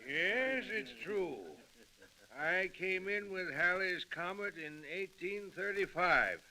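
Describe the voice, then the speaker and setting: An actor playing a wry, resigned old humorist giving a talk in an old-time radio drama. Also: male voice, high-pitched, lively intonation, takes it slowly, sharp tone, slight background noise, heavily acted. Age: older.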